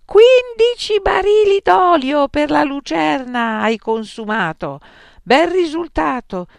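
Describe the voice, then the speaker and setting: An old father rants at his son at the top of his voice, pitch flying up, as a storyteller puts him on. The sound is dry and close. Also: lively intonation, slow, gravelly, no room sound, exaggerated voice. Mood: ranting. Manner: yelling, scolding. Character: angry ranting old father.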